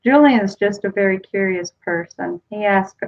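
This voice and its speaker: A woman's voice: mid-range voice, moderate pace, darkish tone. Chatty woman on a lo-fi webcam stream. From United States. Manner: chatty, reassuring, answers viewers' comments one by one. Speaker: vlogger reading live chat. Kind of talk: casual live-stream chat. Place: small room at home, indoors. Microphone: webcam built-in mic. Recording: slightly dull lo-fi webcam audio.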